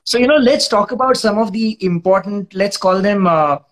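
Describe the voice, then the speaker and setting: A man, hosting a video call interview with encouraging, quick affirmations. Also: sits high. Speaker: show host.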